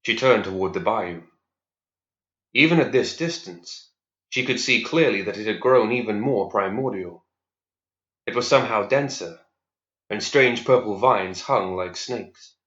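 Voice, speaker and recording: A man with a lowish voice narrates a fantasy story in a serious, measured way. The recording is noticeably roomy and a little dull. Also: fairly bright tone. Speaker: story reader. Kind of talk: scripted narration of fantasy fiction.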